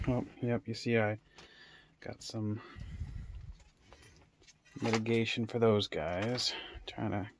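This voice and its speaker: A man's voice: fairly low pitch, a little hiss or hum. A man giving a casual, unscripted tour as a home gardener, rambling and thinking aloud as he goes. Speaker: home gardener showing his grow room. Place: indoor basement grow room.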